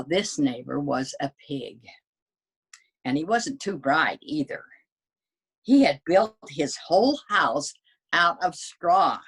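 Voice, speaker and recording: A woman's voice: low-pitched, slightly rough, full character voice. A woman voicing a sly, folksy storybook wolf who protests his innocence in a conversational way. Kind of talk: dramatic children's storybook reading.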